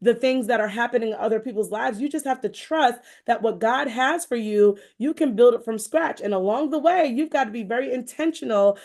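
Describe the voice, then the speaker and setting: A woman podcast host with a fairly high voice. She speaks in an encouraging, emphatic manner, circling back on phrases as she shares faith-based motivation in a conversational style. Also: brisk, hardly any pauses, darkish tone.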